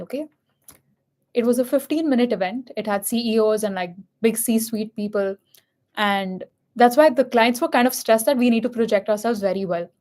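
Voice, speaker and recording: Woman, Indian accent, twenties, earnest, candid, fairly high voice. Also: a little mellow. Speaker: host and MC. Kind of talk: casual chat.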